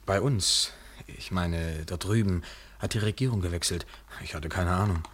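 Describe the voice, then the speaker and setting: An actor playing a lonely, polite bar customer in a radio play, halting and apologetic, on a noisy recording. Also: male voice, deep voice, natural voice. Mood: distracted. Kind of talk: naturalistic radio play acting.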